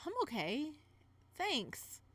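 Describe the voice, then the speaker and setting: Exasperated young man played by a woman, lightish pitch, lively swings, sarcastic grumbling, visual novel hero. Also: drawn-out words, heavily acted. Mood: apologetic.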